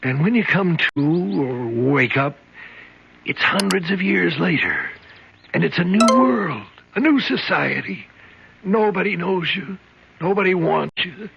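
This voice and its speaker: A man's voice: high-pitched, big pitch swings, unhurried, creaky, exaggerated voice. An actor playing an older male convict who claims centuries of escapes, earnest, dreamy and rambling. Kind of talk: 1970s radio drama acting. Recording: muffled old radio recording, faint hiss.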